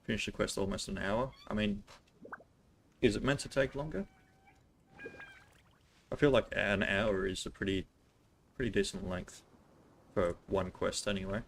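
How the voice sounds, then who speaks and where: A man's voice: on the low side, normal speed, vocal fry, long pauses, a little hiss or hum. A man doing casual livestream commentary on a video game, thinking aloud in an informal, offhand way. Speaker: game streamer.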